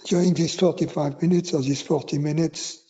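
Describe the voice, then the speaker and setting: A man teaching meditation, giving guided instructions in short, unhurried phrases with long pauses between them. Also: fairly high pitch, steady tone, warm tone, husky, dull audio.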